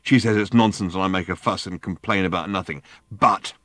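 A man's voice: low-pitched, fast talker, fairly bright tone, no echo. A man voicing an aggrieved working-class lorry driver in an audiobook, ranting with emphatic, darkly insistent indignation. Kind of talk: audiobook character voice.

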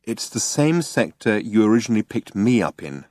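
A man voicing a clever, patient and slightly weary woman in an audiobook, quiet and matter-of-fact. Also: mid-range voice, sing-song, fairly quick, no room sound, clean studio sound. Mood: calm, explaining. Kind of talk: audiobook character voice.

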